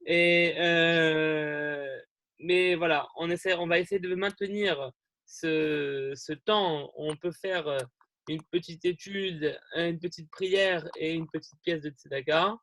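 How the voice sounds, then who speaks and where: A young man leads an online group gathering, talking over a video call. His voice sits a little high and the sound is dry and close. He rambles in a friendly way, full of fillers and restarts. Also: fairly even intonation, takes it slowly, fairly bright tone, no echo. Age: young adult. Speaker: youth group leader hosting an online meeting.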